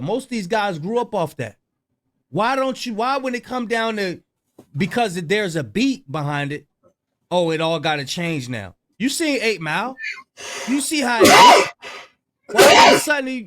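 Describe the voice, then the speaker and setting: A man reacting in casual chat, animated and mocking, firing off rhetorical questions. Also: high-pitched, moderate pace. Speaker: reaction show co-host.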